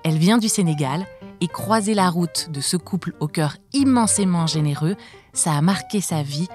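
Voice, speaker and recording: Podcast host, woman, lowish voice, scripted intro read over faint music. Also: animated, moderate pace, darkish tone. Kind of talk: scripted narration.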